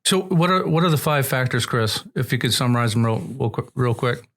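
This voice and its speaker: A man's voice: mid-range voice, expressive, fairly quick, a bit bright. A man hosting a podcast, asking questions in a conversational interview style. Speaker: podcast host.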